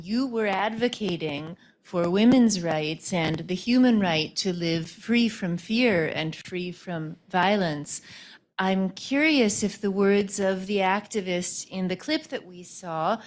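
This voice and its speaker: A woman moderating a panel, asking a prepared question in measured, deliberate phrasing. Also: medium pitch.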